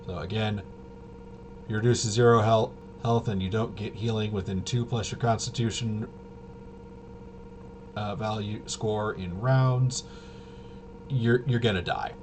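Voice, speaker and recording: Lowish voice guy rambling thru game rules off the cuff, kinda halting, noisy rumbly audio. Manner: halting, rambling, thinking aloud. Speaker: tabletop RPG reviewer and explainer. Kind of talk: casual unscripted rules walkthrough. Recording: clearly noisy with low rumble.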